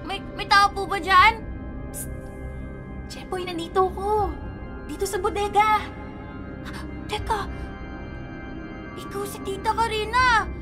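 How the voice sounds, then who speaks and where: A woman's voice: very high voice, steady tone, slow pace, pauses often, music in the background. A woman voicing a curious, polite little village boy in a radio drama. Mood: uneasy. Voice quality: childlike, polite.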